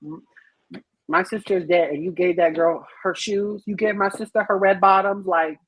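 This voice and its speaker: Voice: mid-range voice, fairly even intonation, normal speed, slightly rough, no room sound. A podcast panelist chatting casually about a film, explaining animatedly and acting out reactions, with some casual swearing. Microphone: online group call. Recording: muffled, lo-fi online call audio.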